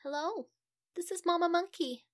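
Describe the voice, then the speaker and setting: A woman's voice: extremely high pitch, normal speed, dry recording, studio quality, pitched above the performer's own voice. A woman voicing a fretting, evasive mother monkey in a children's story. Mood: fretful, urgent.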